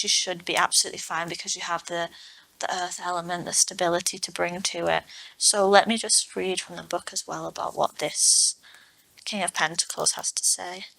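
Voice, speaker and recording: A woman reads tarot for online viewers, explaining each card in a reflective, unscripted way. Her pitch stays fairly even and sits in the middle range for a woman. The tone is bright, with a noticeable crackle of fry. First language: English. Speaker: tarot reader, online host.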